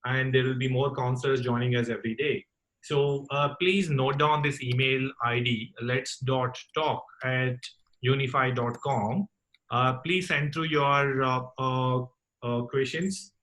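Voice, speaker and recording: A man hosting an online call-in talk session, speaking in a courteous, formal and halting way. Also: medium pitch, fairly even intonation, fairly bright tone. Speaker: host of a campus radio talk session. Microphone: online group call.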